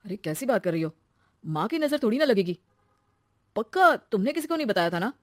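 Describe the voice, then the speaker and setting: A woman's voice: medium pitch, big pitch swings, fairly quick, no echo, close to an everyday voice. A woman takes the part of a young married man in a storybook tale. He is blunt, moody and easily exasperated, in a dry, close recording. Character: young husband and son, downcast, short-tempered.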